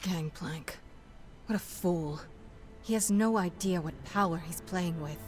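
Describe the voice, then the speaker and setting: A woman voicing a scornful, no-nonsense adventurer in a fantasy game, with wry, brisk delivery. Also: lowish voice, a little mellow, faint music behind, lightly acted.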